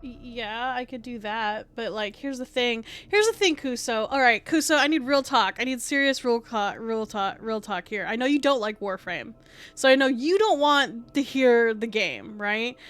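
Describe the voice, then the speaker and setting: A woman VTuber chatting casually while she streams a game, with a soft, small voice. She rambles, says "like" constantly and swears offhandedly, sitting very close to her mic at home. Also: a little high, moderate pace, smooth. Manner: rambling, fills every phrase with "like", swears casually. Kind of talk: casual gameplay stream chat. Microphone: desk mic placed very close to the face.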